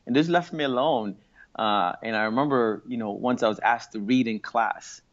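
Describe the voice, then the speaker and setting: A man around forty from Singapore, talking over his past in an interview, pausing and restarting as he thinks. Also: mid-range voice. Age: late 30s or 40s. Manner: thoughtful, halting with restarts and fillers. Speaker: guest. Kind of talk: reflective interview talk. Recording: muffled lo-fi audio.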